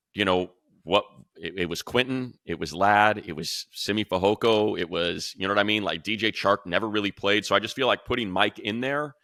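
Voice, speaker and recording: A deep-voiced American man hosts a sports talk show. His delivery is forceful and bright, in punchy, emphatic phrases, and the sound is dry and close. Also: no echo.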